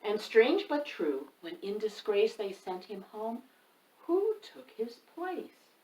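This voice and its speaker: A woman reading a rhyming picture book aloud, with a lively, sing-song delivery and asides to the listener. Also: a little high, slow. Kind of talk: expressive read-aloud storytime. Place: home room. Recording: roomy echo, mic at a distance.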